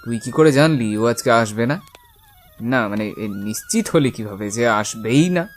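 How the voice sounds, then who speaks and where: A man's voice: medium pitch, normal speed, faint music behind, natural voice. A man playing a chatty, familiar village visitor in a cartoon story, with a colloquial, teasing, inquisitive manner. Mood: casual, curious. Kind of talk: Bengali cartoon story acting.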